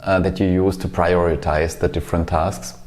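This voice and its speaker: Very deep-voiced male podcast host. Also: level tone, normal speed, a little mellow. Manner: friendly, asks and sums up questions. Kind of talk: casual podcast interview.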